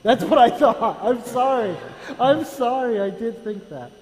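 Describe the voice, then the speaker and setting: A man in his thirties on stage at church, answering in brief, relaxed replies with dry humor. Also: extremely high pitch, a little slow. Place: large church sanctuary. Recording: long church hall reverb with faint noise.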